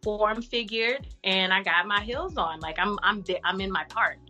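A woman, an actress and artist, giving frank, animated advice in a casual podcast interview. She says she has a deep voice for a woman. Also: normal speed, music in the background.

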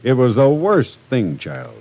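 An actor playing a stern, unforgiving middle-aged businessman and father in an old radio drama, gruff, sarcastic and emphatic. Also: mid-range voice, wildly swooping pitch, a little slow, mellow, full character voice, poor audio. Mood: indignant, sarcastic.

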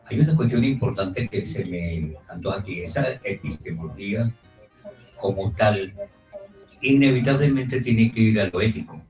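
A man making a formal comment at a book presentation in a halting, ponderous way. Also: lowish voice, darkish tone, soft background music. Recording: roomy, distant from the mic.

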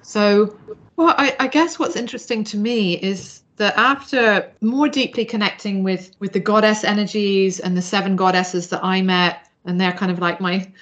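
A woman's voice: mid-range voice, fairly even intonation, normal speed, a bit bright. Dull-sounding reflective woman interviewee from Zambia. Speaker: podcast guest, spiritual practitioner. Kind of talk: reflective interview talk about spiritual practice.

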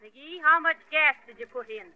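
An actress playing a fond, exasperated middle-aged wife in a vintage radio comedy, prim and exclaiming. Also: female voice, high voice, normal speed, no room sound, lightly acted. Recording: dry, lo-fi old radio broadcast.

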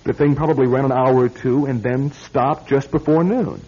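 An actor playing a wry, impatient young bachelor in a classic radio drama. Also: male voice, medium pitch, fairly even intonation, moderate pace, dark tone, slightly rough, natural voice. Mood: exasperated, uneasy. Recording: dull, lo-fi old radio recording.

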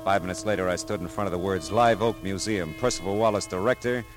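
An actor playing a wry, hard-boiled private detective, dry and clipped, in 1940s radio style. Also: male voice, deep voice, fairly even intonation, fast, music in the background, lightly acted. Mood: wry, brisk.

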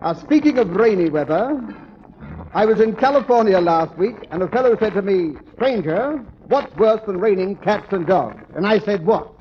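A dull, noisy vintage radio recording carries a corny comic working through old jokes. He is mannered and formal, with a high male voice that moves around a lot. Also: expressive, normal speed. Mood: corny, self-assured. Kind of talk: 1940s radio comedy monologue.

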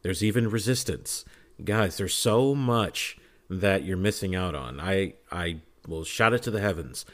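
A man with a fairly low voice talks casually as a podcast panelist. He is emphatic and fervent, pressing his opinions on listeners like a devoted fan. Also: lively intonation, unhurried.

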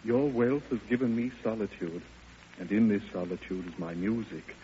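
An actor playing a grave, reserved young Russian composer in an old radio drama, speaking formally and solemnly. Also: male voice, lowish voice, moderate pace, dark tone, natural voice. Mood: grave pleading. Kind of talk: classic radio drama acting. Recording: muffled, noisy old radio recording.

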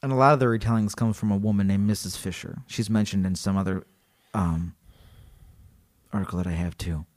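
A man co-hosting a podcast, chatting casually and reading a story aloud, animated and joking. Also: fairly low pitch, brisk. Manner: animated, joking, laughs often. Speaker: podcast co-host.

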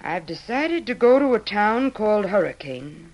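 A woman's voice: medium pitch, sing-song, moderate pace, darkish tone, natural voice, poor audio. An actress playing a resolute, motherly middle-aged widow in an old-time radio western, earnest and firm. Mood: determined, secretive. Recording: vintage radio drama recording.

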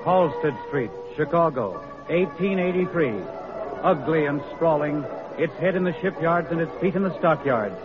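A man's voice: a little high, normal speed, close to an everyday voice. An actor as a solemn male narrator, delivering declamatory scripted narration in an old radio drama. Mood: solemn, ominous. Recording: muffled old radio recording. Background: loud music.

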